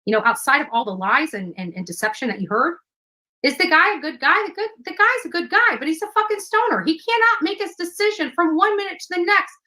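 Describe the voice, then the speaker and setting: A woman's voice: very high-pitched, lively intonation, fast, bright, forceful tone, rough recording. A woman talking casually in a clip, blunt and emphatic, and swearing freely. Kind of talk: casual talk in a played clip.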